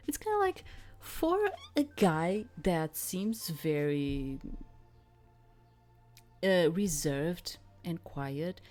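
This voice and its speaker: A woman's voice: low-pitched, darkish tone. A woman streaming a game, reading its text aloud and adding casual, rambling commentary as she searches for words.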